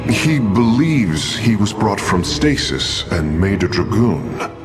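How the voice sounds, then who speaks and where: A man's voice: on the low side, very animated, takes it slowly, music in the background. A man playing an earnest, analytical alien engineer in a game cutscene, precise and formal. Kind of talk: video game cutscene acting.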